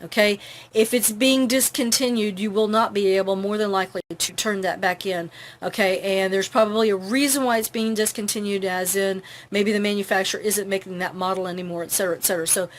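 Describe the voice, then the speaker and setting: A woman's voice: mid-range voice, fairly even intonation, a little fast. A woman hosting an informal live advice broadcast, instructive and earnest, often checking in with the listener as she explains. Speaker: host of a live advice broadcast for truck drivers. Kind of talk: informal live tips talk to camera.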